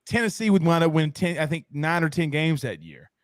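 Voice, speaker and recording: A man hosting a sports talk show, chatting and asking questions in an informal, animated fan's way. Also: on the high side, brisk, no room sound, clean studio sound. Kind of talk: casual sports banter and questions.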